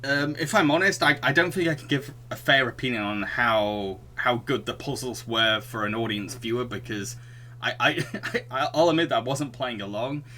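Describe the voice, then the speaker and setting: A man co-hosting a podcast, casually discussing films in a halting, chuckle-prone way. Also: medium pitch, bright, forceful tone, a little hiss or hum. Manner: chuckles through sentences, halting. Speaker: podcast co-host.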